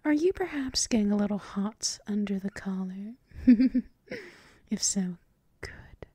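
A woman performing intimate ASMR roleplay as a gentle, teasing, doting dominant girlfriend, often whispering in a soothing, affectionate murmur close to the microphone. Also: mid-range voice, husky, dry recording.

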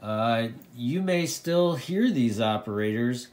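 Man, medium pitch, informal tutorial presenter, hands-on explaining, repeats phrases, recorded indoors. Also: animated, slow pace, a little mellow. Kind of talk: hands-on product demonstration, casual explaining. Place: indoors at a desk.

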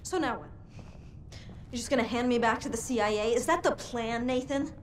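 An actress playing an embittered, defiant young woman in a dramatic video game, questioning in a sharp, confrontational way. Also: on the high side, a little fast, a little hiss or hum, natural voice. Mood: bitter, weary. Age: young adult.

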